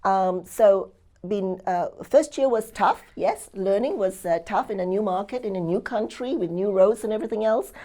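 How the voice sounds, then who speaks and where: A woman's voice: mid-range voice, normal speed, warm tone. A woman from Singapore who later lived in Australia, talking as a real estate coach in an upbeat, emphatic, conversational testimonial, often checking in with rhetorical questions.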